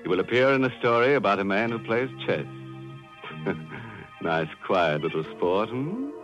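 A man's voice: fairly low pitch, very animated. A man hosting a 1940s radio mystery show in a sardonic, macabre, tongue-in-cheek style. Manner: sardonic, macabre chuckling, drawn-out sign-off. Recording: muffled 1940s radio recording, music behind.

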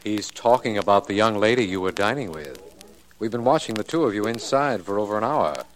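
An actor playing a skeptical district attorney in 1940s radio drama style, dry and gently teasing. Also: male voice, fairly low pitch, expressive, brisk, lightly acted, poor audio. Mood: dry, amused. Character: dry, skeptical middle-aged district attorney, detective's friend. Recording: old radio recording, murmuring crowd.

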